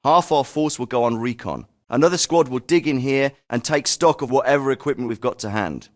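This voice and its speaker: Male actor doing a military commander, stern, authoritative, clipped briefing delivery, mid-pitched, clean studio recording. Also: fairly quick, lightly acted. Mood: commanding. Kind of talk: video game voice acting.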